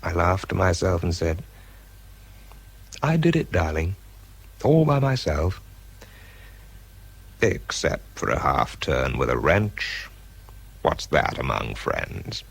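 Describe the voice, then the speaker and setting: An actor playing a weary, self-doubting British man who narrates his own story in a radio drama, reflective and halting, with a gravelly, husky voice. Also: low voice, sing-song, exaggerated voice. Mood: wry.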